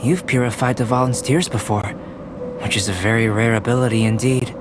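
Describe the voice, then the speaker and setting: A man voicing a calm, scholarly young alchemist in a video game, speaking in a measured, formal, thoughtful way. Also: medium pitch, noisy background, close to an everyday voice. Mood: calm, pondering. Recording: background music under the voice.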